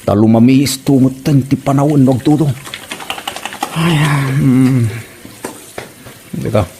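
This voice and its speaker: A man's voice: mid-range voice, unhurried, mellow, slight background noise, natural voice. A man acting a grumbling, put-upon village husband with an Ilocano Filipino accent and an exasperated, scolding manner in a comedy drama.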